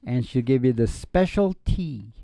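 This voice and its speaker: A middle-aged man hosting with rambling, improvised storytelling in a folksy, halting way, speaking into a close stand microphone. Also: mid-range voice, expressive, creaky, dark tone, no echo. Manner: folksy, halting, with trailing sentences. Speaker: podcast host and singing DJ. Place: small indoor stage with curtain backdrop.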